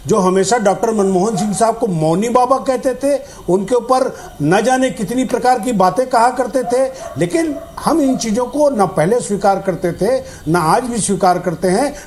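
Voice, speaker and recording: Man arguing on a political panel, high voice, indignant, rhetorical questions. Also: a little mellow. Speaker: party spokesman on a debate panel. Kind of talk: argumentative political debate.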